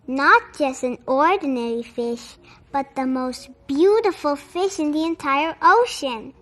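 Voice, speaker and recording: Slow, high-voiced woman reading a storybook. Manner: measured, expressive storytelling. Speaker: storytime read-aloud host. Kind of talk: children's storybook narration.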